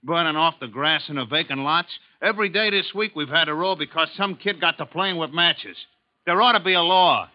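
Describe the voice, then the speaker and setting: An actor playing a grumbling gruff fire engine driver. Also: male voice, fairly high pitch, a little fast, lightly acted. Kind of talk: 1950s radio drama acting. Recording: dull old radio recording with loud background noise.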